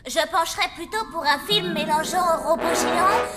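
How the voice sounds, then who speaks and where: A woman voicing a bold, quick-witted zombie girl who talks in an excited, bossy way. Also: very high-pitched, over loud music.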